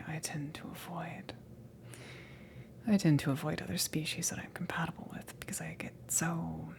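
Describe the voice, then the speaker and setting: A flustered female alien from an ASMR roleplay, acted by a woman. She talks very slowly, with a creaky fry under soft whispering. She is awkward and hesitant, stumbling over words as she tries to hide how smitten she is. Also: deep voice, exaggerated voice. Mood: self-conscious, agitated. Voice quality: breathy, creaky, often whispered. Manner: hesitant, stammering, slow, awkward. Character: flustered female alien crewmember, self-conscious and hungrily smitten.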